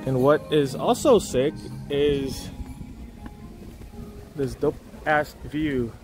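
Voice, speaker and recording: A man's voice: on the high side, extremely slow, darkish tone, faint music behind. A young man walking through a beach resort, talking casually into a phone on a gimbal, rambling with many fillers and restarts. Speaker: travel vlogger. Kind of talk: casual walking tour commentary.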